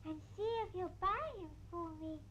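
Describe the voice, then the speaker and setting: A woman's voice: very high voice, normal speed, fairly bright tone, highs cut off. A woman voicing a sweet, curious little girl puppet in an old children's show. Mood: delighted, curious. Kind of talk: 1960s British children's puppet show dialogue. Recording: noisy old 1960s television soundtrack.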